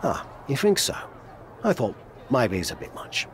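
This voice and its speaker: A man's voice: medium pitch, sing-song, normal speed, a little hiss or hum, close to an everyday voice. A man playing a veteran wrestler turned mentor in a game cutscene, rambling and self-deprecating yet encouraging. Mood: modest. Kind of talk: video game cutscene acting.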